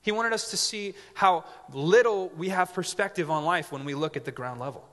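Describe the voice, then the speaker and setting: Young male pastor, bright forceful voice, slightly high, casual sermon, reverberant hall. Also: moderate pace. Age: 20s. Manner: conversational, joking asides, frequent "okay?" check-ins.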